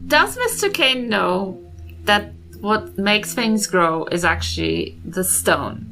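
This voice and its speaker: Lively New Zealand woman playing tabletop. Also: mid-range voice, takes it slowly, gravelly. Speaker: tabletop game player. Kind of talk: casual chat.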